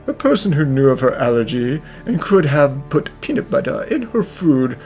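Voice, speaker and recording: A man acting out a detective's accusation, his voice swinging up and down with lively, theatrical emphasis. Faint music plays behind him. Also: mid-range voice, normal speed. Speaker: detective in a fan dub. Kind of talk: fan dub voice acting.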